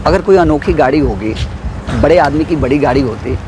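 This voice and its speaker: An actor playing a flippant, wisecracking roadside puncture mechanic in a TV crime drama, recorded amid traffic. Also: male voice, a little high, expressive, brisk, natural voice. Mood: cheeky. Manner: flippant, colloquial.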